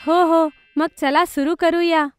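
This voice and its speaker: A woman's voice: very high-pitched, fairly even intonation, measured pace. A woman voicing an earnest, kind young schoolgirl who likes to organise, in a children's cartoon story. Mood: eager, instructing. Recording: music behind the voice.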